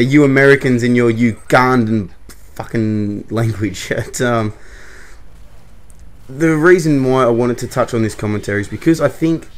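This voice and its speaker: A young man talks to his camera in a loose, opinionated commentary. His voice sits at an ordinary middle pitch. He wanders off track, corrects himself and slips in small laughs. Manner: rambling and opinionated, self-correcting with short laughs. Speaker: YouTuber.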